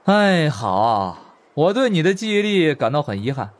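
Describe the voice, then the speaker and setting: A man puts on a theatrical young foreign man for an audiobook. He is flippant and playful, swinging widely in pitch and drawing out his words with exaggeration. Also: big pitch swings, moderate pace. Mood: mock regretful.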